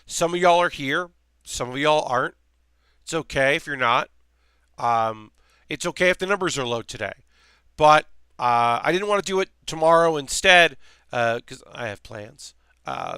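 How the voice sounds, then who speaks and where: A man hosting a relaxed live stream while building a model kit, rambling casually with lots of fillers, his voice slightly worn. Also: fairly high pitch, clean tone, no room sound. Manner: rambling, chatty, many fillers and restarts.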